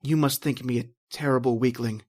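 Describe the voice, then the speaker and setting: A man's voice: mid-range voice, fairly even intonation, fairly quick, darkish tone, close to an everyday voice. An actor playing an anguished married man trapped in prehistoric time, telling his horror in melodramatic old-time radio style. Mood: subdued, despairing. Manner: melodramatic, intense, repetitive narration.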